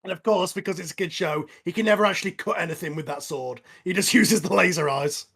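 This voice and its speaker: A man with a fairly high-pitched voice gives casual commentary. He talks as a co-host in a relaxed, conversational watch-along style. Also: quick pace, vocal fry, slightly rough. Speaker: writer and co-host of a cast commentary.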